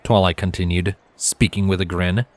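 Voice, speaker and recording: A man's voice: deep voice, steady tone, moderate pace, darkish tone, no room sound. A man reading a story aloud as narrator, in scripted storybook narration. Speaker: narrator, fan fiction reader.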